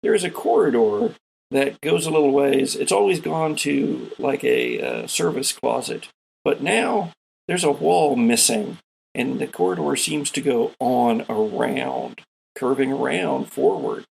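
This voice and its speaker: Male game master, heavily fried and raspy voice, slow-paced descriptive narration for a tabletop adventure. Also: medium pitch, sing-song, darkish tone, low-quality recording. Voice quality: gravelly, husky.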